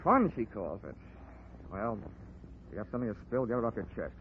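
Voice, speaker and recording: An actor playing a cynical, wisecracking private investigator in a 1940s radio drama, with a clipped, slangy, sardonic delivery. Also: male voice, lowish voice, big pitch swings, a little fast, slight background noise. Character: wisecracking hard-boiled private insurance investigator, cynical man. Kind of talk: 1940s radio detective acting and narration. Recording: muffled 1940s radio broadcast, music.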